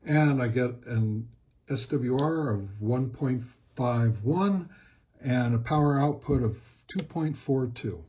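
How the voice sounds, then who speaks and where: A man narrates a casual product test as host, in a halting, plain-spoken, step-by-step way. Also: mid-range voice, animated, dark tone, muffled recording. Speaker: host reviewing radio gear.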